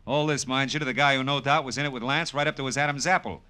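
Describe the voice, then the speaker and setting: An actor playing a tough, wisecracking American private detective in a classic radio drama, slangy and wry. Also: male voice, mid-range voice, fairly even intonation, fast talker, bright, forceful tone, clean tone, natural voice. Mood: wry, hard. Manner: wry, slangy, hard-boiled delivery. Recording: old radio drama recording with music.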